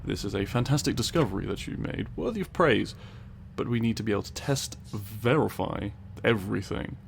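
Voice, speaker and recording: A man's voice: fairly low pitch, expressive, brisk, vocal fry, slight background noise. A man playing a stern, sarcastic tiny scientist in a comedic audio roleplay, speaking in a dry, precise, condescending way. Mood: intrigued, analytical. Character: tiny male scientist Frederick, stern, exasperated, sarcastic, pedantic.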